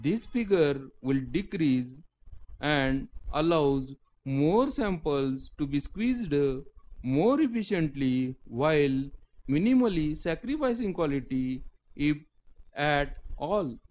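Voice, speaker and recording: A man reading a scripted technical lecture in halting phrases, often breaking mid-sentence. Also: on the high side, darkish tone, low-quality recording. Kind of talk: scripted technical narration read aloud.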